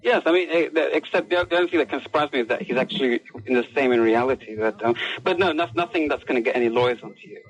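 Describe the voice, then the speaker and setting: A man's voice: medium pitch, very fast, hardly any pauses. A man calling a radio phone-in, chatting casually with hesitant, inquisitive questions. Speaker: phone-in caller. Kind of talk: casual chat. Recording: dull phone call on radio.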